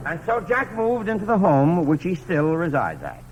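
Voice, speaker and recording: A man's voice: on the high side, huge pitch swings, normal speed. A man narrating a scripted comic biography in mock-grand style, setting up punchlines on a vintage radio show. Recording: noisy vintage radio, audience noise. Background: live audience.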